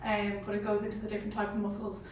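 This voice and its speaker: A woman gives emphatic opinions in a casual round-table talk. Her pitch is mid-range and moves very little. She sounds distant from the mic, in a strongly echoing room. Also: monotone, racing, darkish tone. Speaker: sports team panel member. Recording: very echoey room, distant mic, faint noise.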